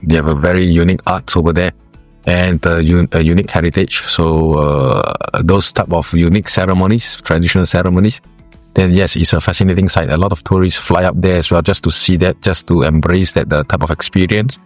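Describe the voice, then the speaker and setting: A man from Singapore, a former footballer, giving interview answers in a halting way with many fillers and restarts. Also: low-pitched, fairly even intonation, no echo, soft background music.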